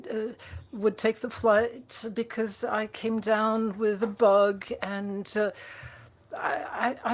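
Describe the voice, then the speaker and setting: A woman interpreting a conference speech live, halting often with fillers and restarts. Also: fairly high pitch. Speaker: simultaneous interpreter.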